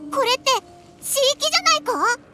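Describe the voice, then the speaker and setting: A woman voicing an excitable, childish little floating fairy in a video game, squeaky and brash. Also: moderate pace, loud music behind the voice. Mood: puzzled. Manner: brash, rough boyish phrasing. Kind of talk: scripted game dialogue.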